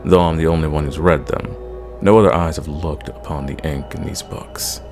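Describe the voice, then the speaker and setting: A man playing an ancient, sadistic, condescending vampire in an ASMR roleplay, speaking in a theatrical, measured way and drawing out his words. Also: very deep voice, steady tone, vocal fry, a little mellow, soft background music. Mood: wry, curious.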